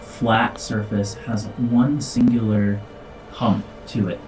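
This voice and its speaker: A man narrating a tabletop game as game master, improvising descriptions in a halting, informal way. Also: lowish voice, little pitch movement, darkish tone, distant mic, rough recording. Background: music.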